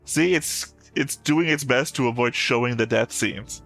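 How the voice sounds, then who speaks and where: A man's voice: medium pitch, a little slow. A man giving casual, rambling reaction commentary as a show host, full of fan-expert asides. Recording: music playing behind the voice.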